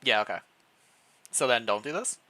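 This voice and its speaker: A man with a bright, punchy voice in a middle register hosts a casual livestream. He moves between story narration read aloud and playful, think-aloud chat. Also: mid-range voice, lively intonation, moderate pace. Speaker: streamer, host reading fan fiction.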